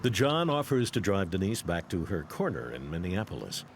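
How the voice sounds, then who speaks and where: A man's voice: sits low, huge pitch swings, normal speed, music in the background. A man with an American accent reading a scripted true-crime documentary narration.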